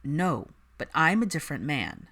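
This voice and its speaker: A woman voicing a composed, wry, probing man of an old wizard family, with a smooth, measured manner in audiobook dialogue. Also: low voice, sing-song, fairly bright tone, exaggerated voice. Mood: measured, serious.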